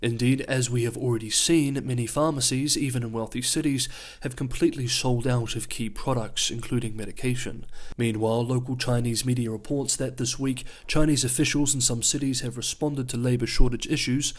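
A man hosting his own news analysis channel, reading a scripted voice-over in a measured, explanatory way, his voice going hoarse. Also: mid-range voice, fairly even intonation. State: losing his voice.

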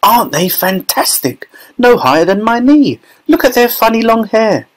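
A man reading a storybook, voicing astonished children and parents who shout out in excitement. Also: high voice, normal speed, pitched above the performer's own voice. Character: amazed, clamouring onlookers, children and parents.

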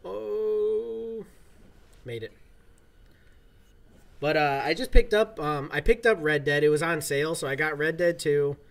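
A man's voice: on the high side, measured pace, gravelly, pauses often. A man in his thirties chatting casually on a livestream from his home gaming room, rambling with lots of fillers. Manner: laid-back, rambling, many fillers. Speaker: livestream gamer. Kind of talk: casual livestream chat while playing.

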